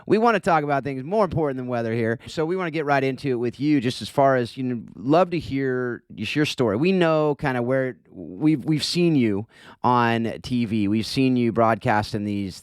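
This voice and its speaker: A man's voice: medium pitch, animated, moderate pace, smooth, no echo. A man from California hosting a casual podcast, friendly and given to repeating words as he talks. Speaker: podcast host.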